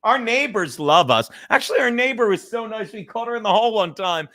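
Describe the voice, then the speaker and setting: Male livestream host, bright forceful voice, casual chat, rambling, self-deprecating, profane. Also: sits high, animated, fairly quick.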